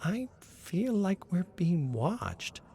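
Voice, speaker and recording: Man playing a young woman hiker, raised pitch, wary, uneasy, horror storybook character, slow. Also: big pitch swings, gravelly, mellow, slight background noise, heavily acted.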